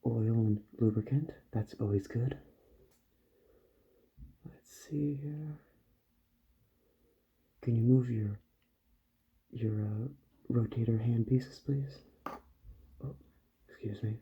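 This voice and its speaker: A man doing a soft-spoken ASMR roleplay as a calm, polite doctor who repairs robots, murmuring gently and often dropping into a whisper. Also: mid-range voice, gravelly, breathy edge, lo-fi sound. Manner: gentle, slow, murmured reassurance to the listener.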